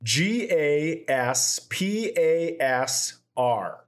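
A man's voice: on the high side, drawn-out words. Seorang pria membawakan obrolan komedi santai, membacakan kiriman dengan datar dan sering mengumpat. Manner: profane, animated storytelling with deadpan read-outs. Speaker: podcast co-host. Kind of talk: casual comedy chat, reading out items.

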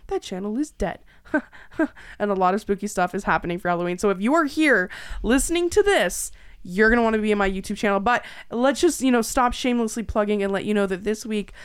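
A woman hosting a podcast in a casual, animated, self-deprecating way with salty language. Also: medium pitch, fast talker, clear, resonant tone. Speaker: podcast host. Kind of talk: casual chatty podcast banter.

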